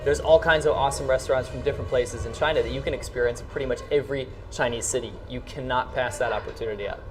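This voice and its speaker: A man's voice: mid-range voice, steady tone, quick pace, recorded from a distance, over music. A man with an American accent hosting a casual vlog, speaking in an enthusiastic, emphatic way. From rural New York, United States. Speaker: vlog host. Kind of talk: casual vlog talk to camera.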